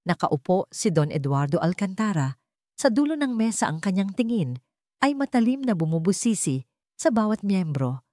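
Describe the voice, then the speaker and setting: A woman with a Filipino accent narrating a scripted dramatic story in a measured, formal way. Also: medium pitch, big pitch swings, a little mellow, no echo, studio quality.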